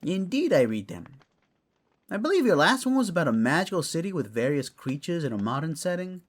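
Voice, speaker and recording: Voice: mid-range voice, big pitch swings, fairly quick. A performer playing an eccentric, genial and chatty male fantasy lord in an audio roleplay, with theatrical, courtly, mischievous delivery. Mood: playful.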